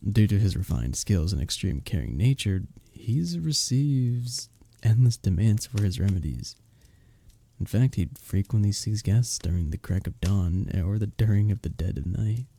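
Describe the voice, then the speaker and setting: A man playing a gentle, earnest village innkeeper in a medieval ASMR roleplay, speaking softly and intimately in formal, old-world phrasing. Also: on the low side, animated, moderate pace. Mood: flattered, fond. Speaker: audio roleplay performer. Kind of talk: ASMR roleplay, intimate one-sided conversation.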